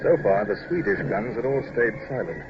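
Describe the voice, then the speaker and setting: Man acting a brisk, wry British naval commodore, low-voiced. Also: lightly acted. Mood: grave narration. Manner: clipped, commanding, urbane. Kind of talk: old-time radio drama acting, first-person narration. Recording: dull, noisy old radio broadcast recording.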